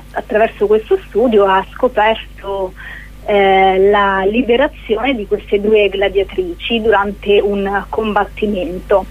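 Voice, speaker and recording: A woman giving an informal radio interview as a guest actress, speaking hesitantly with searching pauses. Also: mid-range voice. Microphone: telephone. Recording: narrow, tinny phone line.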